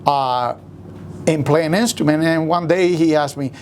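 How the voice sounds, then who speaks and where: A man from Rico, a musician telling his story in an interview with many pauses and fillers. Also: on the high side, a little hiss or hum. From Puerto Rico. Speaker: guest musician.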